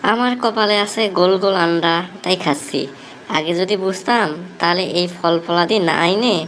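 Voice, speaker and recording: Over faint background noise comes a cartoon husband played by a woman, young, sulky and full of sarcasm. He complains in a rural Bangladeshi accent at a middling pitch, every remark a comic jab. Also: a bit bright, close to an everyday voice, rough recording. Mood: sulky, mocking. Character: grumbling, sarcastic young son-in-law, a disgruntled husband.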